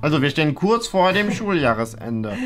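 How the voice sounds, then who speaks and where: A man's voice: on the high side, very animated, normal speed, a bit bright. A man playing a nerdy know-it-all top student in a comedy sketch, lecturing precisely and condescendingly. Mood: smug. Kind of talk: scripted comedy sketch acting. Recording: roomy sound with music underneath.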